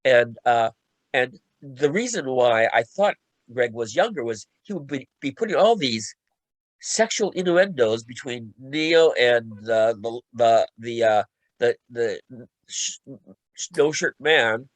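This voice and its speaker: Halting man, close dry sound. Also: mid-range voice, lively intonation, dry recording, rough recording. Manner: halting, repeats and restarts phrases often. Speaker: radio play co-writer and panelist. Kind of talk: casual panel talk recounting a creative process.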